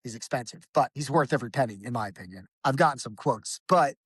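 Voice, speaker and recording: A man's voice: medium pitch, expressive, fast talker, a bit bright, breathy edge, no echo, studio quality. A man talking casually as a guest about his band's vocals, rambling with many restarts and fillers. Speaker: podcast guest, band vocalist. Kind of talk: casual conversation.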